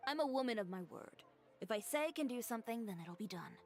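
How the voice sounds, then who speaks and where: An actress voicing a poised, businesslike fashion designer in a video game, composed and matter-of-fact. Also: female voice, a little high, animated, fast, soft background music.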